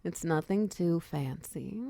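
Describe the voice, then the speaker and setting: A woman's voice: sits low, slow pace, no echo, full character voice. A woman playing a confident, flirtatious female minotaur wizard who runs a potion shop, speaking to the listener in intimate, teasing roleplay ASMR. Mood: businesslike, friendly. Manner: teasing, coy, softly breathy in places.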